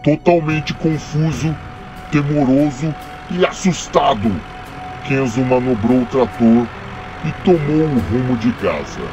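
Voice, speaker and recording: A man with a Brazilian accent narrating a scripted horror story in a deliberate, dramatic way with pauses. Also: medium pitch, animated. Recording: dull audio under loud music.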